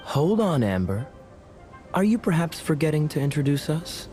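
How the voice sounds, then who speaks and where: A man playing a suave, sly cavalry captain in a video game, composed and teasing, with a smooth-talking, insinuating drawl. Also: a little high, very animated, moderate pace, over music.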